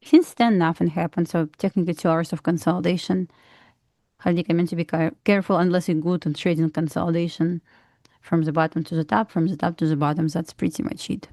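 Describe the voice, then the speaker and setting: A woman with a Russian accent talks through price action in a measured, matter-of-fact way. Her voice is low-pitched and mellow, and the sound is dry and close with no room echo. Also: fairly even intonation. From Belarus. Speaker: trader co-host. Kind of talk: live market commentary.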